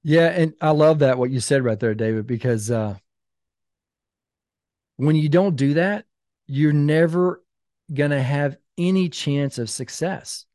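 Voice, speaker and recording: A man in his fifties, a podcast guest and author, talking in an animated, emphatic storytelling way. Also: a little high, unhurried, pauses often, no room sound, clean studio sound. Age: 50s. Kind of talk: casual podcast conversation.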